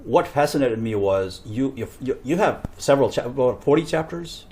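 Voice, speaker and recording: A man's voice: medium pitch, lively intonation, moderate pace, a little mellow, a little hiss or hum. A man speaking as a talk show host in a conversational interview.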